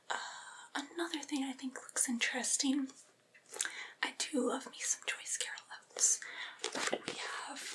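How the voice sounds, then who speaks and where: Woman doing a vampire's familiar asmr thing, all whispered, kinda high, soothing n gently teasing, devoted to her master. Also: low-quality recording. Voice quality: soft breathy whisper.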